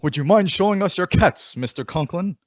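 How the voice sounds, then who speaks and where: A man's voice: high voice, animated, a little fast. An actor playing a stern, skeptical businessman and father with a formal, sardonic delivery in old-time radio comedy style. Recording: dull lo-fi recording.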